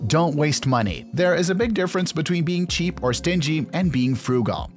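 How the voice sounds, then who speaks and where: A man reading a scripted explainer narration in a measured, instructional manner. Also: on the high side, expressive. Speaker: video narrator. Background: background music.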